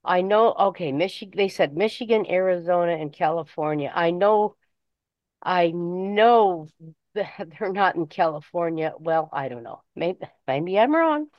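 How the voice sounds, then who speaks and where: A woman's voice: on the low side, expressive, measured pace, no room sound. A woman chatting casually in a conversational way, like a caller on a talk show. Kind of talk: casual chat. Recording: dull, slightly muffled phone audio.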